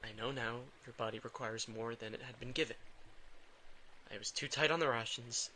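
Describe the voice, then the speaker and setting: A brooding, worn-out vampire played by a man in an intimate audio drama. His mid-pitched voice has a bright, tense edge, and he talks in guarded, stumbling bursts as if weighed down by guilt. There is noticeable noise behind him. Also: natural voice, rough recording. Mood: subdued, guilty. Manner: halting, defensive, stammering under pressure. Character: brooding, drained, guilt-ridden reluctant male vampire.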